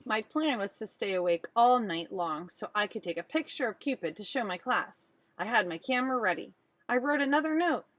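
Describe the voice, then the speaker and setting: Higher-voiced woman reading a storybook aloud. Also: fairly quick, dry recording. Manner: expressive, addressing child listeners. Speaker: teacher reading aloud to children. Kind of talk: storybook read-aloud for young children.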